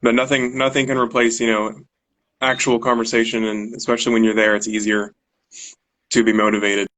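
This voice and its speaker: A man, a guest in a casual online chat, relaxed and rambling with many fillers. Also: mid-range voice, a little fast, low-quality recording. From United States. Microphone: video call.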